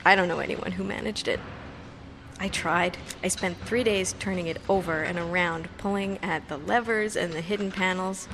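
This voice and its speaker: Actress as grieving young woman, audio drama monologue, gravelly fry, halting, rambling, emotional, self-dramatizing, faint background noise. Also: on the low side, steady tone, natural voice. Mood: rueful. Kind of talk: audio drama monologue, naturalistic confessional speech.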